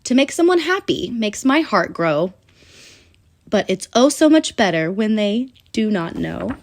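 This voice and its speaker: Female children's book narrator, mid-pitched and very lively, sing-song rhyming read-aloud with deliberate pauses.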